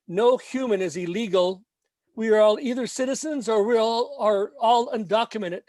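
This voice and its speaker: A man from Mexico reads his poetry in a slow, emphatic chant that leans on repeated lines. His voice sits high for a man. The sound is dry and close, with no room around it. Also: a little mellow, dry recording. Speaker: poet. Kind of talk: poetry reading.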